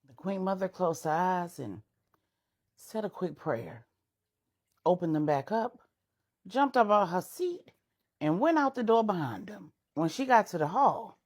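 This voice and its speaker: A woman's voice: lowish voice, sing-song, measured pace, pauses often, darkish tone. A woman storyteller narrating serial fiction in a dramatic style.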